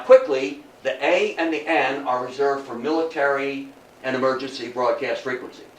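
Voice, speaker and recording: Older man lecturing in a roomy space. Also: medium pitch. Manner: theatrical, emphatic, with dramatic pauses and rhetorical questions. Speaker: history lecturer. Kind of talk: public lecture with storytelling. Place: indoor talk room before an audience. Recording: roomy, distant mic, faint noise.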